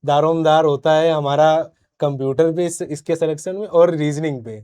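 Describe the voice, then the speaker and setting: A man's voice: a little high, fairly even intonation, normal speed, warm tone, clear, resonant tone. A young man giving casual, plain-spoken, explanatory answers as an interview guest. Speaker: interview guest, successful exam candidate.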